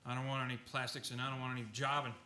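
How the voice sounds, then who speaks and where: A man's voice: mid-range voice, flat delivery, rapid-fire, bright, forceful tone, echoey room, slight background noise, close to an everyday voice. An actor playing an awkward, stubborn, flustered young man in a radio play, stammering and hesitant. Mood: angry.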